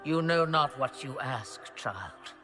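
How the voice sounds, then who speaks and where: A woman's voice: a bass voice, lively intonation, slow pace, sharp tone, exaggerated voice. An actress playing an ancient, imperious witch-mother in a video game, speaking in cryptic, theatrical, archaic phrases with a menacing edge. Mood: stern, imperious. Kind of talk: dramatic video game voice acting. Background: background music.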